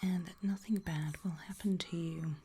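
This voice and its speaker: A British woman whispering a creepy ASMR roleplay as a calm, reassuring gravedigger, hushed and matter-of-fact with long pauses. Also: lowish voice, a little hiss or hum.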